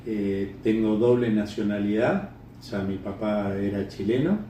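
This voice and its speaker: A middle-aged Uruguayan man answering interview questions off the cuff, halting and repeating words as he thinks. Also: fairly low pitch, mellow. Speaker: interviewee, bird breeder. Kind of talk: unscripted interview answers, reminiscing. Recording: echoey room, distant mic, faint noise.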